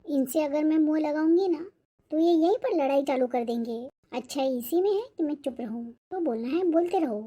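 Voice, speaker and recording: Woman voicing young mother, cartoon family drama, very high pitch, mellow tone, aggrieved, polite. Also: normal speed, close to an everyday voice. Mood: resentful. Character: put-upon, resentful young daughter-in-law and mother.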